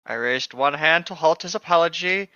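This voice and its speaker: A man's voice: on the high side, normal speed, sharp tone, clear, resonant tone, dry recording, dull audio. A man co-hosting a podcast, reading a novel aloud and joking casually in a playful, hammy, mocking way. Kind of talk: casual chat with jokey read-aloud.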